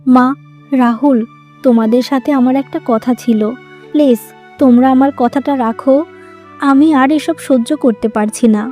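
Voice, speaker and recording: Woman acting a high-voiced, emotional young cartoon wife. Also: fairly even intonation, moderate pace, long pauses, dark tone, soft background music, close to an everyday voice. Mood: pleading. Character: emotional young modern wife, pleading and heartbroken. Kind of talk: Bengali moral-story cartoon dubbing.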